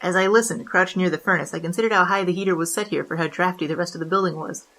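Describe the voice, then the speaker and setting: Woman acting a fast-talking, low-voiced noir bandit narrator. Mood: wry. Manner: wry, deadpan, brisk. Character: wry, hardboiled 1920s bandit narrator confessing crimes.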